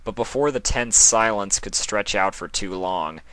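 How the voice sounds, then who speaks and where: A man reading scripted fan fiction as the narrator, in an expressive storytelling style. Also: fairly low pitch, measured pace, dry recording.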